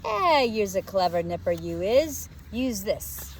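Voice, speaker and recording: A woman voicing a cheeky, bossy working-class boy in a storybook, talking in hushed slangy dialect. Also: medium pitch, sing-song, slow, slight background noise. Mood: proud. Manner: whispering, slangy street dialect.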